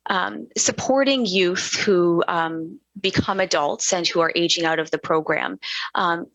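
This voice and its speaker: A woman with a fairly low voice gives first-person spoken interpretation of a signing panelist over a video call. Her delivery is measured and halting, with frequent fillers. The sound is close and dry. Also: fairly bright tone, dry recording, poor audio. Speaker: sign language interpreter voicing a panelist.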